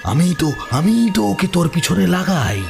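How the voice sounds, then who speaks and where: A male actor playing a gloating, ruthless villain in a horror audio drama, menacing and repeating his words in a halting way. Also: high-pitched, sing-song, creaky, over music, heavily acted. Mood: smug. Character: gloating, ruthless male villain, kidnapper obsessed with occult secrets.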